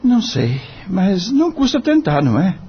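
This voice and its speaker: A man's voice: sits high, huge pitch swings, moderate pace, breathy edge, full character voice. An actor playing an earnest, polite young male lawyer in a radio drama. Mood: thoughtful, earnest. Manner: polite, deferential. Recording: dull, lo-fi radio recording.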